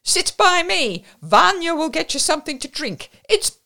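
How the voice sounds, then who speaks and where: A woman narrator voicing a gushing, excitable young bohemian woman with foreign phrasing in an audiobook. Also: very high-pitched, moderate pace, creaky, pitched above the performer's own voice, full character voice. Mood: gushing, rapturous. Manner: effusive, exclamatory, broken foreign phrasing. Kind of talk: audiobook character voice.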